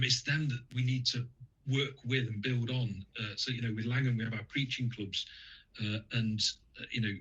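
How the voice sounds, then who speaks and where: A man with a husky, slightly breathy voice, speaking as a radio guest. He pauses often, restarts his sentences and fills gaps with ums and uhs. The sound is dull and lo-fi. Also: mid-range voice, a bit bright. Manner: hesitant, many fillers and restarts. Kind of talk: radio interview, conversational.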